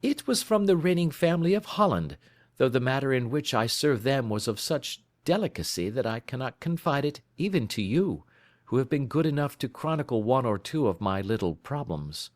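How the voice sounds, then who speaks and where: A man voicing a keen, lofty male detective in an audiobook, crisp and lecturing in manner. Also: medium pitch, big pitch swings, normal speed, no echo, clean studio sound, pitched above the performer's own voice, lightly acted. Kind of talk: audiobook character reading.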